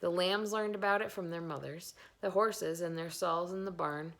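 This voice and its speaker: Female storybook reader: slightly low voice with creaky fry, expressive read-aloud narration. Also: normal speed.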